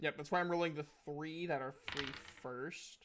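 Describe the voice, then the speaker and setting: A man's voice: on the high side, steady tone, normal speed, a bit bright. A man narrating and refereeing a tabletop fantasy game as game master in an informal, casually sweary way.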